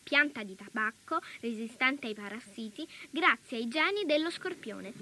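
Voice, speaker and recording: A woman's voice: fairly high pitch, animated, moderate pace, sharp tone, heavily acted. A woman playing a detached announcer, reading scripted bulletins in a radio play. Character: detached female announcer of strange genetic science bulletins. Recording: noisy ambient backdrop.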